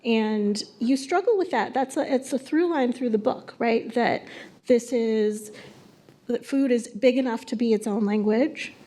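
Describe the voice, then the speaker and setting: A woman's voice: fairly high pitch, unhurried, dark tone. A woman interviewing an author at a bookstore event, asking thoughtful questions while searching for her words.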